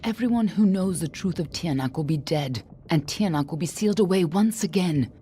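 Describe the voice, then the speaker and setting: An actress playing a stern, guarded warrior woman in a fantasy game, speaking in a cool, serious, measured way. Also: fairly low pitch, animated, dark tone, soft background music, natural voice. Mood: grave, guarded. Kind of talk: dramatic fantasy game dialogue.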